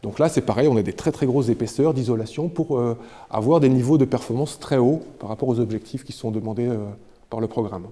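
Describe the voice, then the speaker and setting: A man with a very dark, mellow voice of average pitch gives an unscripted guided explanation. He speaks like an architect presenting a project, feeling for words and repeating them as he goes. The sound is dry and close. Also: dry recording. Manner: halting, hesitant, repeats words while explaining. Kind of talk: spontaneous guided-tour explanation.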